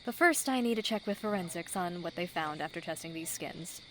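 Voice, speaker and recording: A woman's voice: lowish voice, expressive, a little fast, fairly bright tone, a little hiss or hum. A woman playing a businesslike, weary police detective in an audio drama, with a dry manner. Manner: dry, no-nonsense.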